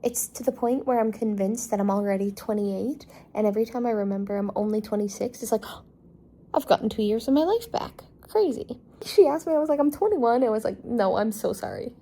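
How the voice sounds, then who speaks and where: Chatty young woman, mellow voice. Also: a little high, lively intonation, fairly quick. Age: 20s. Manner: chatty, self-mocking, talks to herself. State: tired. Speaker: travel vlogger. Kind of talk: casual vlog chat to camera.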